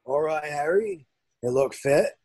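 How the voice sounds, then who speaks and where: A man playing a big, kindly, sentimental half-giant with a husky voice in a table read. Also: fairly high pitch, measured pace, a little mellow. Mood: tender. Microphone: video call.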